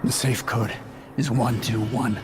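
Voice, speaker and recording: A man playing a dying, loyal assistant in a video game, earnest and pleading, speaking weakly in halting short phrases. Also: medium pitch, little pitch movement, over music, lightly acted. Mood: weak, urgent.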